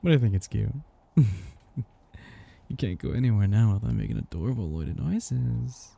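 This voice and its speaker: A man as a dark, breathy, possessive vampire master. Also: on the low side, sing-song, full character voice. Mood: indulgent, possessive. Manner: slow, teasing, condescendingly affectionate, with whispers and chuckles. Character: possessive, teasing male vampire master, aristocratic and indulgent. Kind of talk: intimate roleplay ASMR.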